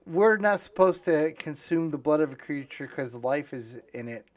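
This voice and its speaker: A man's voice: on the high side, animated, moderate pace. A man, an author, talking in a casual discussion, hesitant and thinking aloud as he goes.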